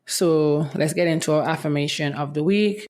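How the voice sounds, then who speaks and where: A woman's voice: deep voice, normal speed, darkish tone. A young woman hosting a casual solo podcast, talking in a candid, chatty way while her voice is affected by medication. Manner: candid, chatty, often says "let me tell you". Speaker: podcast host.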